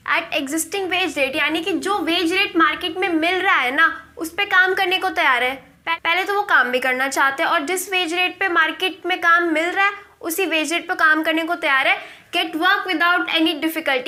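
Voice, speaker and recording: A woman's voice: very high-pitched, bright, forceful tone. A woman teaching an economics lesson, asking her own questions and answering them for her students. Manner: mixes Hindi and English, asks and answers her own questions. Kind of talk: classroom lesson explaining exam terms.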